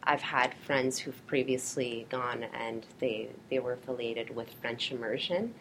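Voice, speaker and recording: A woman's voice: a bass voice, a little slow, slight background noise, rough recording. A woman raised in Canada, with Polish as her first language, interviewing a guest and reading formal prepared questions.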